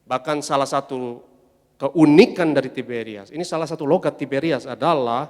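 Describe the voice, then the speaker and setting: A man preaching a sermon as pastor, emphatic, swelling loud on his refrains and calling the congregation to say amen. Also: medium pitch, moderate pace. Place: large church hall. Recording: long church-hall reverb.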